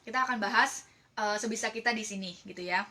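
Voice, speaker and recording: A woman's voice: medium pitch, fast talker, sharp tone. An Indonesian-accented woman presenting as a team leader, explaining casually and chattily while often checking in with listeners.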